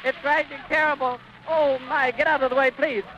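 Shrill, panicked 1930s male reporter. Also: steady tone, brisk. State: distraught, panicked shouting. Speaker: radio reporter. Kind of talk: 1930s live radio commentary. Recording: muffled, noisy 1930s radio recording.

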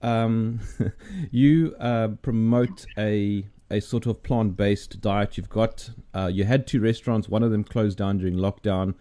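Low mellow guy asking questions, kinda halting, lots of uhs. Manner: hesitant, halting, many restarts. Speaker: interviewer. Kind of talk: interview conversation.

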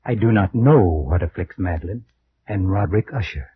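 An actor playing a reserved, sombre family physician, formal and guarded, in old radio drama style. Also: male voice, fairly low pitch, fairly quick, lightly acted. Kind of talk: 1940s-50s radio drama acting. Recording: muffled, faintly noisy old radio recording.

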